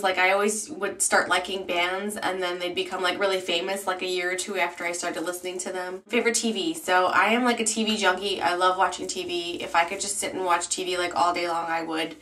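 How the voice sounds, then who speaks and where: A woman's voice: mid-range voice, pushed and bright, far from the mic. A woman in her twenties chatting casually to camera in a vlog, rambling with lots of ums and likes. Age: 20s. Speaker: YouTube beauty vlogger.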